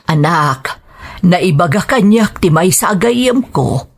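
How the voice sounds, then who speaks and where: Husky, low-voiced worried mother, played by a woman. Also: lively intonation, slow, heavily acted. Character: concerned, cautioning mother of a grown son. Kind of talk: melodramatic audio drama acting.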